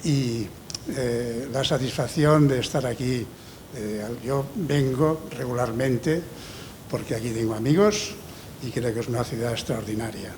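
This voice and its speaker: A man's voice: medium pitch, noisy background. Man from Barcelona reminiscing. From Barcelona, Spain. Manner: reminiscing, grateful, with halting restarts. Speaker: former handball player.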